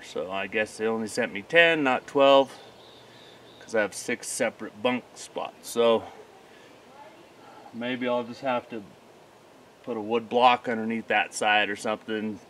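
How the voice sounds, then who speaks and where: A man's voice: mid-range voice, pushed and bright, a little hiss or hum. A man casually explaining a DIY job as a vlogger, matter-of-fact and thinking aloud. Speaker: homesteader vlogger. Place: outdoors at a homestead sawmill site.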